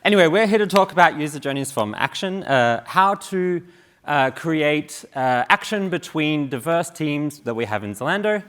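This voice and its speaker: A man's voice: fairly high pitch, animated, moderate pace, a bit bright, clear, resonant tone. A man in his thirties from the UK giving a casual, joking conference talk on stage in a large hall. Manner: joking, chatty, many fillers. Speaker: conference speaker. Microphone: stage mic.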